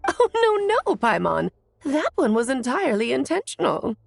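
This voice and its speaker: Playful game sorceress, theatrical, genteel, highish lively voice, dry recording. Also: female voice, moderate pace, vocal fry, dry recording, soft background music, full character voice. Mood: playfully arch. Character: playful, self-assured sorceress mother, breezy and theatrical. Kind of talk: video game voice acting.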